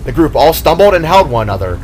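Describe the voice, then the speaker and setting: A man's voice: a little high, sing-song, fast, a little mellow, slight background noise. A man reading his fantasy story aloud as a dramatic scripted narration. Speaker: author narrating own story.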